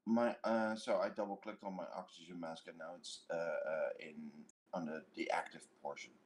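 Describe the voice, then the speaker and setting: A man's voice: sits low, steady tone, normal speed, a little hiss or hum. A man talking casually as a player in a tabletop role-playing game.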